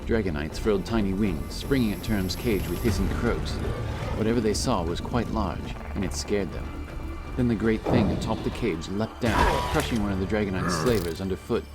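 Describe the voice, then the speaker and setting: A man's voice: lowish voice, normal speed. A man reading scripted fantasy narration as a dramatic storyteller. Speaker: audiobook narrator. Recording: loud music behind the voice.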